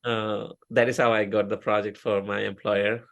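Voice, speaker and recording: Middle-aged Indian-accented man, Oriya-speaking, gravelly low voice, hesitant interview guest. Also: little pitch movement. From India (Odisha). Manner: halting, many fillers and restarts. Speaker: interview guest, software engineer. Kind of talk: casual interview talk.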